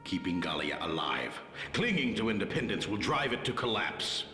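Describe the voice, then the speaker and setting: An actor playing a domineering, scheming middle-aged ruler in a game cutscene, speaking in a commanding, lecturing way. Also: male voice, sits low, very animated, moderate pace, bright, forceful tone, soft background music, heavily acted. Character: older male rebel leader turned archduke, scheming and domineering. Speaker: game character. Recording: reverberant with game score behind.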